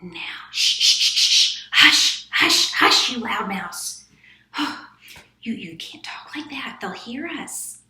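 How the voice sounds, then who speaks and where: A woman voicing a careful mother mouse who warns and hushes her children and teaches them rhymes. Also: lowish voice, expressive, takes it slowly, roomy sound, exaggerated voice. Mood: hushing.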